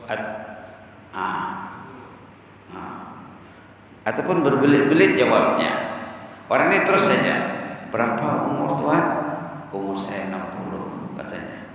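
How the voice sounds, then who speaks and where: A man's voice: medium pitch, fairly bright tone. A man giving an Islamic sermon to a congregation as an ustaz, in a didactic manner, asking rhetorical questions and answering them, recorded in a mosque hall. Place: large mosque prayer hall. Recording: very echoey mosque hall, distant mic, faint noise.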